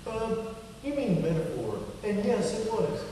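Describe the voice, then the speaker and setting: A man playing a kindly, patient male puppet in a children's church show, explaining things gently and earnestly. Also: high-pitched, sing-song, a little slow. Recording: echoey hall from far off, faint noise.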